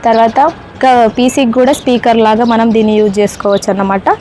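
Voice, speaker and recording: A woman's voice: a little high, steady tone, fast, warm tone, slight background noise. A woman with a Telangana Telugu accent giving a casual hands-on product demonstration, explaining step by step and often checking in with viewers. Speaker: product reviewer.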